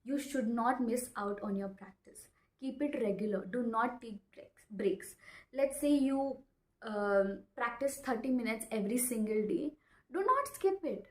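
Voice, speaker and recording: A woman's voice: fairly high pitch, expressive, a little slow. A woman in her twenties with an Indian accent, a non-native English speaker, presenting an informal lesson to camera, emphatic and repeating phrases with frequent check-ins. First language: not English. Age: 20s. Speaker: English-learning video presenter. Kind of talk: informal instructional talk to camera. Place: small bare indoor room. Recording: echoey bare room.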